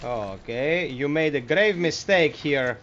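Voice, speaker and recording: A Greek-accented man, a native speaker of Greek, chats in a friendly, relaxed way while he streams a game. His voice sits a bit high, and the mic sounds slightly muffled. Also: slow, background noise. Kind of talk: casual gameplay commentary.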